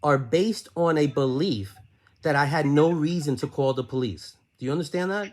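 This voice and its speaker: A man's voice: a little high, normal speed. A man in a heated phone argument, insistently repeating himself and pressing question after question. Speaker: caller questioning his aunt.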